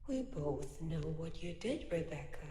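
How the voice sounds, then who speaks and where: Mellow, low-voiced virtual nurse in reverb. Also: female voice, animated, a little slow, slight background noise. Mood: insistent, probing. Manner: composed, precise, formal. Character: calm, probing computer-generated female nurse. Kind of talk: radio drama acting. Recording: echoey, long reverb.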